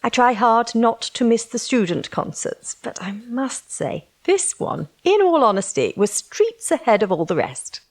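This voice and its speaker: Chatty, effusive middle-aged woman, lilting pitch. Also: very animated, measured pace, few pauses, heavily acted. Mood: gracious, eager. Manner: hospitable, effusive. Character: chatty, kindly, flattering widowed secretary, middle-aged. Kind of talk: audio drama dialogue.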